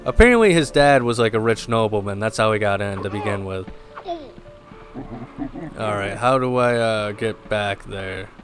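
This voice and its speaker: A man hosting a gameplay video, mixing casual commentary with lore read aloud, in a somewhat halting delivery. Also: fairly low pitch, lively intonation. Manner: halting, stumbles while reading aloud. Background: game music.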